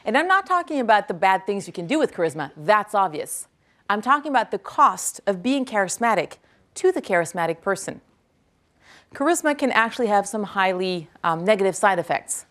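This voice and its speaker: A woman whose first language is French, speaking at a university lecture hall, energetically repeating and answering questions from the audience. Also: medium pitch. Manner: energetic, quick to praise, repeats each question aloud.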